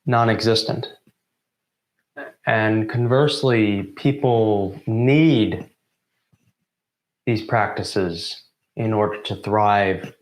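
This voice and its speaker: A young man with a lowish voice speaks in an echoing room. He gives a formal welcome, phrasing it deliberately as the host of the evening. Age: 20s. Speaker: co-founder of student theatre group introducing an event. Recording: roomy, echoing sound.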